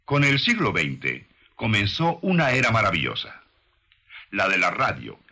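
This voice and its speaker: A man narrating a scripted documentary in a solemn, measured, rhetorical manner. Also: lowish voice, sharp tone, breathy edge.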